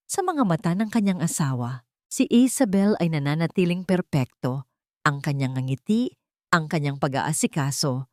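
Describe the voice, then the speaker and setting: A woman with a Filipino accent narrates a dramatic audio story. Her voice sits a little low. The recording is dry, with no room sound around her. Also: darkish tone, no echo.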